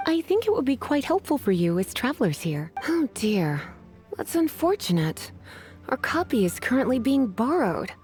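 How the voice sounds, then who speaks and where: A coy video game librarian, flirtatious and languid with a sly edge. Her voice is a bit high and swoops expressively, with music behind. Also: big pitch swings, normal speed, darkish tone. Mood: irritated, sly. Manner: coy, teasing, sighing drawl. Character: flirtatious, languid adult female librarian, teasing yet menacing.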